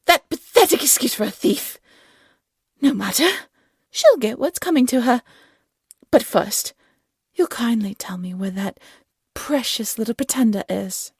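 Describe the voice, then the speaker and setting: A woman's voice: a little high, expressive, a little slow, breathy edge, dry recording, clean studio sound, exaggerated voice. A woman playing a vain, scheming evil fairy-tale queen in an audio drama, imperious and theatrical. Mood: enraged, menacing.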